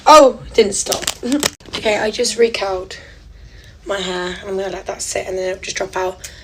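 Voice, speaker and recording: Young woman, casual giggly vlogger, mid-height voice, slips into soft whispers. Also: mid-range voice, normal speed, background noise. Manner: chatty and giggly, drops to a soft near-whisper at times. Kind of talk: casual get-ready-with-me chat.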